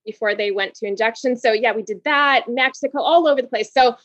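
A woman in her forties from Hawaii, a guest telling her story in an interview, bubbly and quick to laugh, restarting words. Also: fairly high pitch, fairly bright tone, no room sound, highs cut off.

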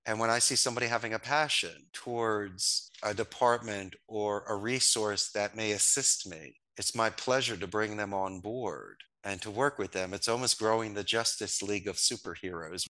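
A man from Northeast Philadelphia talks as a guest on a business podcast. His voice is bright and sits a little low, and his pitch leaps up when he is amused. He tells stories in a casual, animated way. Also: normal speed, hardly any pauses. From Northeast Philadelphia, USA. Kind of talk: casual interview talk.

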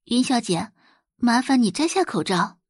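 A woman playing a poised young female doctor in an audio drama, polite, measured and self-assured. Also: a little high, lively intonation, husky, no room sound, studio quality, voice pushed up. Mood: friendly, reassuring.

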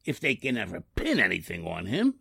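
An actor playing a grumbling, plain-spoken prison guard with husky, ungrammatical working-class talk in a 1940s radio drama. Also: male voice, fairly low pitch, huge pitch swings, brisk, bright, forceful tone, no room sound, clean studio sound, heavily acted. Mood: scornful, emphatic.

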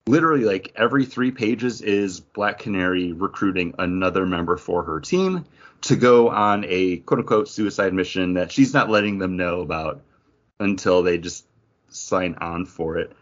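Low-voiced man chatting casually. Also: normal speed, dull audio. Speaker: podcast host. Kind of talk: casual review chat.

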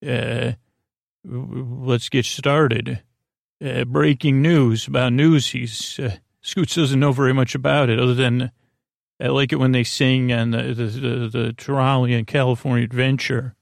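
A man hosting a podcast with a meandering, halting bedtime monologue, often losing his thread as he goes. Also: medium pitch, fairly even intonation, gravelly, no echo, clean studio sound. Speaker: podcast host. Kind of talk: rambling, improvised bedtime monologue.

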